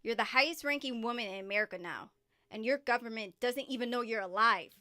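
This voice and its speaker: A woman's voice: a little high, a little fast, pushed and bright. A woman playing a composed, earnest secret government agent in an audio drama, formal, deferential and insistent. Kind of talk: amateur audio drama acting.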